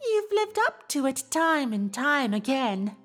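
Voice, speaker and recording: A woman's voice: sits high, big pitch swings, unhurried, fairly bright tone, soft background music, full character voice. A woman voicing an elegant, teasing female pony in a dramatized story reading, with an affected, refined delivery.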